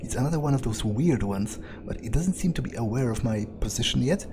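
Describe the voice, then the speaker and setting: A man's voice: mid-range voice, lively intonation, fairly quick, crowd noise. A man doing in-character game commentary, thinking aloud in a musing, hesitant, casually sweary way. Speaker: video game player narrating in character.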